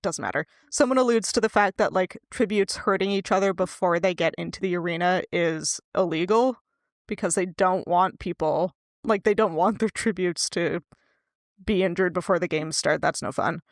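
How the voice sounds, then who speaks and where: A woman co-hosting a casual book discussion in a conversational way, quick to laugh. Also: on the low side, no room sound, studio quality. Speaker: podcast co-host.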